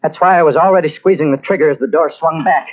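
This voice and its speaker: A man's voice: fairly high pitch, quick pace, very noisy, lightly acted. An actor playing a guilt-ridden, weary and bitter man confessing a murder in a 1940s radio mystery. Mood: tense, hurried. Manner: brooding, dramatic. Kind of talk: 1940s radio mystery acting, first-person narration. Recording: muffled old radio recording with music behind.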